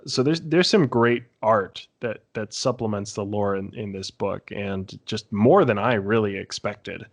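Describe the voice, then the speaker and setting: A man's voice: on the low side, measured pace, gravelly. A man speaking as a podcast panellist in a casual review discussion.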